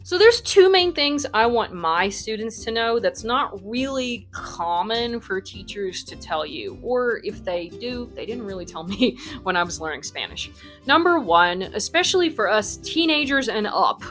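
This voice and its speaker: A woman's voice: fairly high pitch, big pitch swings, measured pace, a bit bright, faint music behind. A young adult woman from the United States, a Spanish teacher who runs her academy, answering interview questions in a chatty way full of ums and likes.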